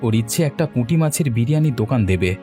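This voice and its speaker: A man's voice: mid-range voice, lively intonation, very fast, warm tone, soft background music, natural voice. A man voicing a helpful young village man in a cartoon, friendly, concerned and reassuring. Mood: pleading. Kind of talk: cartoon voice acting.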